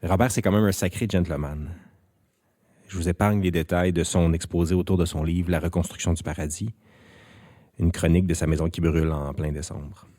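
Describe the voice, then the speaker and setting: Male narrator, Quebec French accent, deep mellow voice, dry understated storytelling. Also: steady tone, fairly quick. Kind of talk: scripted first-person narration. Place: recording studio. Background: faint music.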